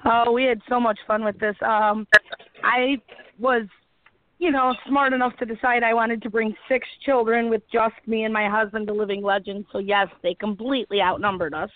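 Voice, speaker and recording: A woman's voice: on the high side, steady tone, normal speed. A woman from the Chicago area calling in, chatting about a family road trip in an easygoing, rambling way. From Illinois, Chicago area. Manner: easygoing, self-deprecating, rambling. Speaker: caller, parent. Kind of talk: casual chat. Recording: tinny, dry phone line.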